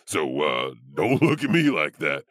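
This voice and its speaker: An actor playing a ghoulish, wry old storyteller, hosting horror tales in a theatrical, punning way. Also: male voice, very deep voice, sing-song, measured pace, a bit bright, no echo, heavily acted. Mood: sly, amused. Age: older. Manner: sly, theatrical, punning, chuckling. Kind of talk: horror anthology host narration.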